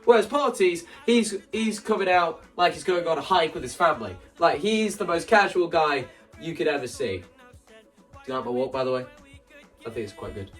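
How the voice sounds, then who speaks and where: A man's voice: fairly high pitch, big pitch swings, moderate pace, fairly bright tone, recorded from a distance, faint music behind. A man talking casually in an enthusiastic, rambling way, often interrupting himself as he explains his ideas. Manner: enthusiastic, rambling, self-interrupting, acts out quoted lines. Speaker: video creator. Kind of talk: casual explanatory vlog about staging a musical.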